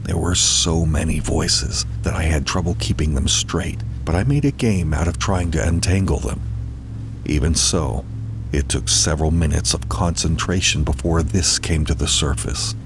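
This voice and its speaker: A man's voice: very deep voice, moderate pace, creaky. A man narrating a dramatized first-person horror story, with music behind him.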